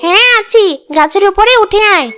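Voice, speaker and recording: A woman playing a very high, scheming she-ghost. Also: expressive, normal speed. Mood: sly. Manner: sweet-talking, then sly and vengeful. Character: scheming female ghost with magic long hair. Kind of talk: cartoon voice acting. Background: background music.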